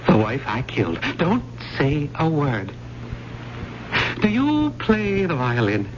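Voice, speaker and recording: Unhinged, obsessive murderous professor: highish, insistent radio melodrama, muffled and noisy old recording. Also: male voice, big pitch swings, slow pace. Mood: menacing, intense. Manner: theatrical, insistent, repeating himself. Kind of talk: old-time radio drama acting.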